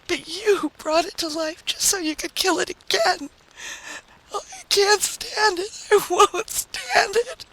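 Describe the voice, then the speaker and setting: A man's voice: very high voice, slow pace, bright, forceful tone, voice pushed up, exaggerated voice, rough recording. A man voicing a desperate, distraught wife in a dramatic story reading, agonized and close to hysteria. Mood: hysterical, accusing. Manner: agonized, faltering, rising to screams.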